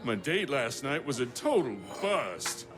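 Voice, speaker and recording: A man's voice: medium pitch, big pitch swings, unhurried. An actor playing an insecure, lovelorn small-town man in a video game, earnest and over-dramatic. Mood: glum, insecure. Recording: loud music and crowd noise.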